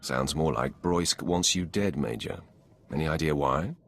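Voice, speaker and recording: An actor playing a skeptical, commanding dark lord in a video game, curt and authoritative in manner. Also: male voice, extremely low pitch, a little slow, gravelly, exaggerated voice. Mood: probing.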